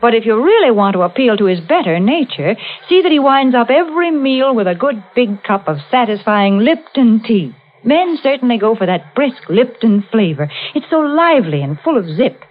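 A chirpy woman announcer from old-time radio advertising. Her fairly high voice moves with lots of melody. The sound is thin and band-limited. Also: big pitch swings, moderate pace, hardly any pauses. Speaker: commercial announcer, co-host. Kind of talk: scripted 1940s radio advertising. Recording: tinny old radio recording.

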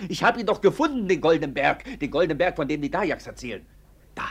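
Husky, gold-obsessed older trader, excitable. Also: male voice, fairly high pitch, fast talker, full character voice. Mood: feverish, excited. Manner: urgent, emphatic, swings between hushed and shouted. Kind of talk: German radio drama acting.

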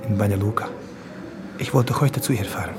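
Man playing a haunted, low-voiced war refugee. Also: brisk, natural voice. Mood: weary, grateful. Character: haunted Bosnian war refugee confessing, former jazz trumpeter. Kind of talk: German radio drama acting. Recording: music behind the voice.

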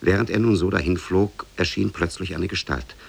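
A grave storyteller with an extremely deep, creaky, husky voice. He narrates a storybook tale in the measured, formal style of 1950s radio. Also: steady tone, exaggerated voice.

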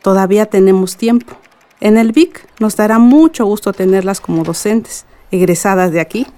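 An actress playing an affectionate middle-aged Mexican mother and school head in a radio soap, gentle and encouraging. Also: mid-range voice, moderate pace, lightly acted. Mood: proud, encouraging. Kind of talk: radio soap opera dialogue.

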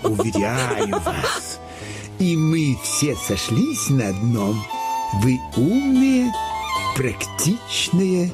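A lecherous, decrepit old government minister in a satirical fairy-tale drama. The voice drags out its flattery very slowly and lurches wildly up and down. He sounds pompous and scheming, with loud music behind him. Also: on the high side, exaggerated voice. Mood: fawning. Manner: theatrical, halting, drawn-out flattery with sudden swoops. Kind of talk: satirical fairy-tale radio play acting.

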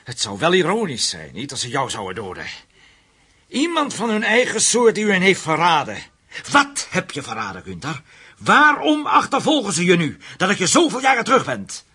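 A man's voice: high voice, wildly swooping pitch, normal speed, sharp tone, slightly rough, full character voice. A man acting in a radio play as a hard, vengeful investigator, menacing and sardonic as he interrogates. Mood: bitter, mocking. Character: hard, vengeful male investigator, survivor of Nazi camps.

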